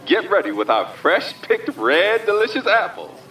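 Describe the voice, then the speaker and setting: An actor playing a folksy supermarket announcer, giving sales patter over the store speaker in an audio drama. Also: male voice, high-pitched, big pitch swings, moderate pace, sharp tone, far from the mic, heavily acted. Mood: folksy, unhurried.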